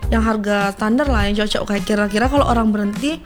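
A woman's voice: mid-range voice, quick pace, music in the background. A young woman with an Indonesian accent chatting casually as an interview guest, explaining things in an informal way. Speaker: interview guest, small food seller.

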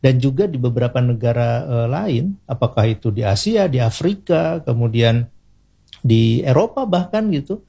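Gravelly Indonesian man, explaining. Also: medium pitch, animated, moderate pace, warm tone. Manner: didactic, enumerating points, often adds short tag particles. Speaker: interviewed language expert. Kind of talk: explanatory interview talk.

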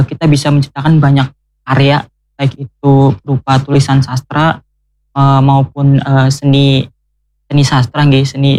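A man's voice: mid-range voice, darkish tone, no room sound. A man from the regional culture office, answering in a formal, hesitant way with frequent fillers. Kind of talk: interview answer. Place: radio studio.

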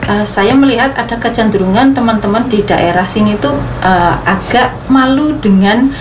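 A woman's voice: medium pitch, normal speed, noisy background. A woman speaking, explaining earnestly with many fillers. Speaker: guest speaker, regional official. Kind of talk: panel discussion.

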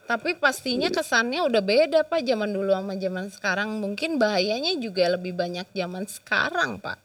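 A woman's voice: mid-range voice, lively intonation, fairly quick, a bit bright. Chatty Indonesian woman interviewer. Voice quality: chatty, with many brief backchannel hums. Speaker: interviewer host. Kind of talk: casual interview chat.

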